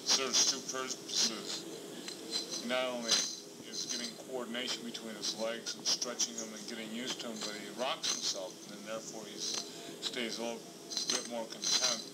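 A man's voice: mid-range voice, flat delivery, normal speed. A man chatting casually at home as a parent with his baby, on an old home cassette recording. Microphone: cassette recorder at a distance, re-recorded from earbuds into phone. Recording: muffled old cassette dub, loud noise, distant.

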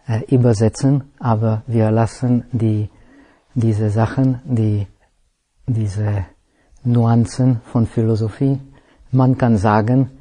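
Slow, halting non-native male teacher. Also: medium pitch, mellow. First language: not German. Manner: halting, searching for words, many pauses and self-corrections. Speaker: Buddhist meditation teacher. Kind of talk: slow explanatory dharma talk.

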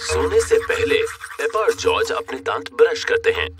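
Friendly storyteller, children's cartoon narration, animated intonation, medium pitch. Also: male voice, moderate pace, recorded from a distance, soft background music.

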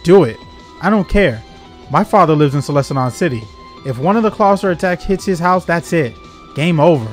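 A man voicing a fed-up, sharp-tongued young female villain grunt from a video game, exasperated and emphatic. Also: on the high side, expressive, fairly quick, natural voice. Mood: bitter, defiant. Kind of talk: voiced reading of game dialogue. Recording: game music behind.

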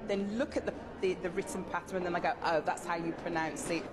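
Female interviewee, lowish voice, informal delivery, noisy hall recording. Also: steady tone, fast talker. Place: large indoor hall.

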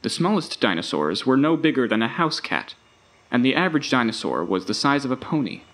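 A lively park tour narrator. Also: male voice, medium pitch, a little fast. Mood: welcoming. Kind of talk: recorded tour announcement.

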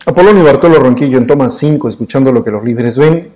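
Middle-aged guy hosting a studio interview, mellow tone, long rolling questions. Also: medium pitch, quick pace. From Mexico. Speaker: interview host. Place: dark indoor studio.

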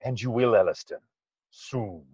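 A man's voice: on the low side, unhurried, natural voice. A man playing a loyal, folksy sidekick in a period radio mystery, speaking colloquially, with emphatic encouragement. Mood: encouraging. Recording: dull, echoey video call sound.

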